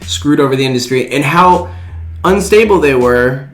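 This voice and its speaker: A man's voice: mid-range voice, lively intonation, moderate pace. A young man co-hosting a movie news podcast in a casual, chatty style. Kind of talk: casual chat. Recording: slightly echoey room, music underneath. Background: background music.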